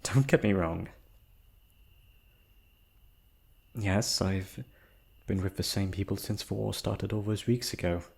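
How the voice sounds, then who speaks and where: Guy playing a shy flirty fantasy prince, low slightly husky voice, hesitant and wistful, romantic asmr roleplay. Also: normal speed. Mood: flustered, guarded. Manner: hesitant, sighing, soft-spoken formal speech.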